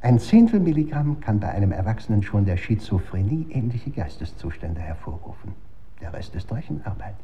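A morbid, cynical man of science with a fairly low voice, delivering radio-drama lectures in with cool relish. The recording is slightly muffled, with faint noise underneath. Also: big pitch swings, a little fast. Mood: lecturing. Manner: lecturing, savours technical terms, cynical. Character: man coolly relishing chemical weapons, pedantic morbid expert. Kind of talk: German radio drama acting. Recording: dull, noisy old radio recording.

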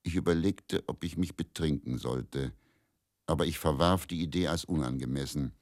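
An actor playing a haunted, resigned man who recounts his story in a measured, deliberate dramatic monologue with pauses. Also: rumbling low, vocal fry, a little mellow, no echo, clean studio sound, full character voice. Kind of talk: slow first-person dramatic monologue.

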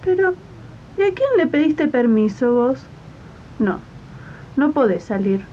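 Woman playing a shrill, bossy lady of the house. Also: big pitch swings, unhurried. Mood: dismissive. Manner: scolding, impatient, imperious. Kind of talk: 1980s community radio drama acting. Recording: dull, noisy archival tape with rumble.

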